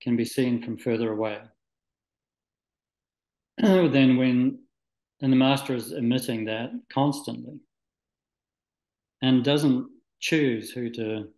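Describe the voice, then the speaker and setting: Man, mid-pitched voice, halting reflective delivery, conversational interview answers, roomy echo. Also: gravelly, darkish tone. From Scotland, moved to New Zealand at nine. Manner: halting, thoughtful, searching for words. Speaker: interview guest, spiritual practitioner. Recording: echoey room.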